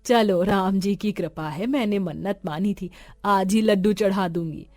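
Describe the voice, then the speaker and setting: A woman's voice: mid-range voice, unhurried, darkish tone, no echo, faint music behind, lightly acted. A woman voicing a poor, devout, long-suffering village mother in an earnest, plaintive and tender way. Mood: plaintive devotion.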